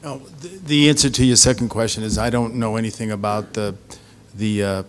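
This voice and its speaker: A man's voice: on the low side, steady tone, a little fast. A man at a podium answering questions hesitantly and carefully at a town meeting. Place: school auditorium stage. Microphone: podium mic.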